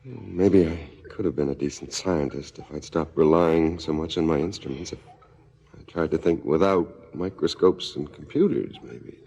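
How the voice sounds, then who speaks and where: An actor playing a cynical, world-weary former scientist in a seventies radio drama, speaking in laconic, resigned lines. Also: male voice, very deep voice, moderate pace, vocal fry, mellow, slightly rough, muffled recording, full character voice. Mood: bitter, resigned. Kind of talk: 1970s radio drama acting, terse dialogue.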